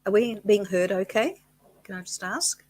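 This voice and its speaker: A woman, co-hosting a casual live chat in a soft, slightly husky voice, close to the mic and often giggling and teasing. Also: mid-range voice, animated, moderate pace, no room sound. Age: 60s. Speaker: co-host.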